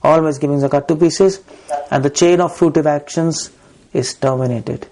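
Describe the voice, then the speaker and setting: A man's voice: a little high, fairly even intonation, normal speed, a little mellow. A man with an Indian accent lecturing on scripture as a preacher, reciting verses and driving points home in short, repeated phrases. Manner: emphatic, repetitive phrasing in short bursts.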